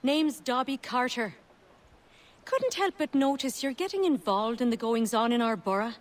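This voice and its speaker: A woman's voice: fairly high pitch, animated, moderate pace, natural voice. An actress playing a streetwise, colloquial working-class woman in a video game. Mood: confiding, indignant. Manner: plain-spoken, colloquial. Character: streetwise working-class woman recruiting help against profiteers.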